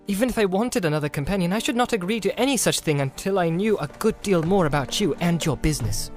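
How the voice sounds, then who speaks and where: A man's voice: sits high, lively intonation, quick pace, soft background music, pitched above the performer's own voice. A man voicing a young male hobbit, wary and indignant, in an audiobook. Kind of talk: audiobook character acting.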